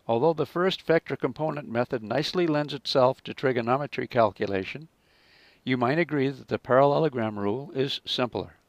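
A man narrating a scripted physics lesson as a teacher, in a measured, explanatory manner. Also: medium pitch, no echo.

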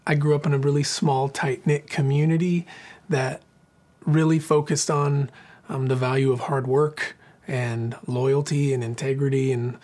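A man's voice: medium pitch, darkish tone. A man in his thirties from Southern Oregon, a singer-songwriter telling his life story in an earnest, reflective way with many fillers and restarts. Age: 30s. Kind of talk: personal interview storytelling.

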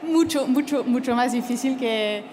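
A French woman presenting in accented Spanish, hesitant and dotted with filler pauses. Her voice sits a little high. Faint crowd noise hangs in the background. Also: darkish tone. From France. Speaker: restaurant consultant speaker. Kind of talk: conference presentation.

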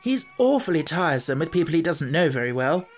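Brief: reader doing a level-headed boy, raised pitch, calm and persuasive. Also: fairly quick. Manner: steady, persuasive. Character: clever schoolboy keeping calm.

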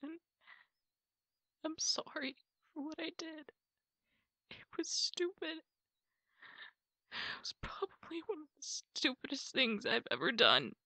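Woman performing as apologetic, emotional girlfriend for intimate ASMR roleplay. Very slow, hesitant and soft, recorded close and dry. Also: high voice, huge pitch swings, no echo, full character voice. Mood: tearful pleading. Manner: halting, soft, breathy delivery with pauses. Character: apologetic, tearful girlfriend pleading to make up.

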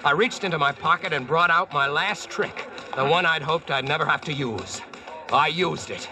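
A man's voice: on the high side, normal speed, very noisy, close to an everyday voice. An actor playing a wry, plainspoken Yankee spinning his tall tale in folksy slang, in 1940s radio drama style. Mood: wry, tense. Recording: vintage radio recording with music behind.

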